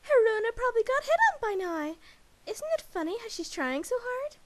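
Woman as a very high-voiced teasing teenage girl. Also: sing-song, normal speed, a little hiss or hum. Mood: amused. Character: teasing, giggly teenage girl, blunt friend. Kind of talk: amateur anime-style fandub acting.

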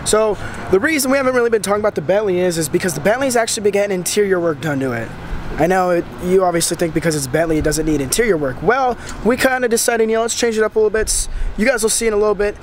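Young guy with a sick raspy voice, kinda high, filming himself on a handheld cam, faint street noise behind. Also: very fast. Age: young adult. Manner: excited, rambling, lots of fillers. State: hoarse from a cold. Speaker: vlogger. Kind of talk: casual vlog talk to camera. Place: outdoors by a house and inside an auto upholstery shop. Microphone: handheld camera at arm's length.

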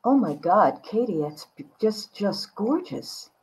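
A woman in her sixties chatting casually and expressively on a video call, with a slightly husky voice. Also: mid-range voice, measured pace, poor audio. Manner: expressive, exclaiming. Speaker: guest artist. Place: small indoor studio room with bookshelves.

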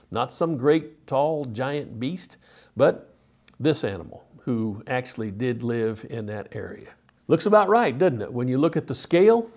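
A man's voice: medium pitch, a little mellow. Man in his sixties, lecturing. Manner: emphatic, with rhetorical questions. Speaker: Bible teacher and presenter. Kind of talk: illustrated lecture to camera. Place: indoor studio.

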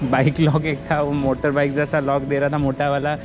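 A man's voice: fairly high pitch, completely flat delivery, brisk, highs cut off, background noise. A man chatting casually while walking a city shopping street, asking a friend question after question. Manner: curious, asks many questions. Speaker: vlogger. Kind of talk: casual walking-tour chat.

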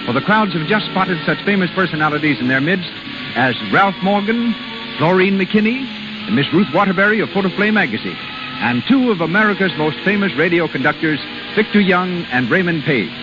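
Animated old-time radio announcer man. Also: high-pitched, fairly quick. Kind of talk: 1930s radio announcing, scripted. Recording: muffled 1930s radio broadcast. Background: music.

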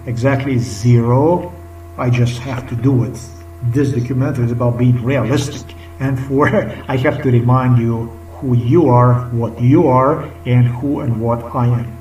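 A middle-aged man with a Slovenian accent talks straight into a webcam from a small room, circling back on his points and hammering words over and over. The sound is roomy and distant from the mic, with faint music underneath. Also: mid-range voice. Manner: rambling, repetitive, emphatic, drops to a whisper at times. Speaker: vlogger. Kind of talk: unscripted commentary to webcam.

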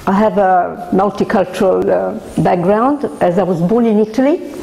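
Older woman, gravelly and mellow. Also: mid-range voice, roomy sound, poor audio. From Australia. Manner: halting, many fillers and restarts, gestures while talking. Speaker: artist. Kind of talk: casual talk at a gallery opening. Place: indoor gallery room.

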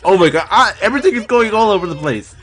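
Excitable young man reacting to a show. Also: high-pitched, quick pace. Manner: exclaiming, laughing, gasping comments. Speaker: reaction video host. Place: small indoor room.

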